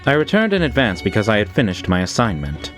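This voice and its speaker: Casting: male actor as unflappable, courteous anime butler, animated intonation, music underneath. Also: on the low side, quick pace. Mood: polite, smug. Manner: formal, composed, polite. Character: suave, unflappable male demon butler, formal and devoted. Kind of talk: anime-style manga dub acting.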